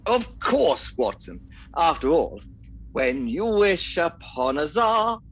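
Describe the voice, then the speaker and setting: A man's voice: a little high, sing-song, slow pace, breathy edge, dull audio, full character voice. Man playing a jaunty captain, wildly swooping intonation. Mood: pleased. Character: breezy, pleased-with-himself male captain, royal cousin. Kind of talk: comic radio drama acting.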